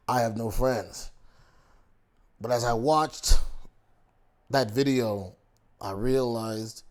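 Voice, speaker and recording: A man's voice: mid-range voice, darkish tone. A man giving a casual monologue as a YouTube commentator, reflective, posing rhetorical questions to the viewer and circling back over his own words. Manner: talks in loose restarts and repeats himself.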